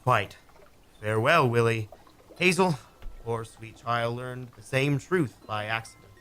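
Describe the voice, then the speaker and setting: An actor playing a cold, quiet killer who taunts his victim in a community radio play. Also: mid-range voice, unhurried. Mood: gloating. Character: cold, sly male killer, calm and menacing. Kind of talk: amateur radio drama acting.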